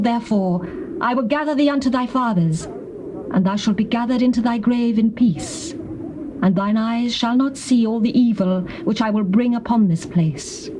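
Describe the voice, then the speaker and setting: An actress playing a solemn, stern prophetess pronouncing judgement in a measured, declamatory scripture drama. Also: female voice, a little high, close to an everyday voice. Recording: dull tape transfer with faint noise.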